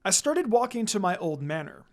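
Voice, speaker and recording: A man with a high-pitched voice narrates a piece of fan fiction. He reads from a written text in the manner of a storyteller. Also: very animated, moderate pace. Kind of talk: scripted story narration, reading aloud.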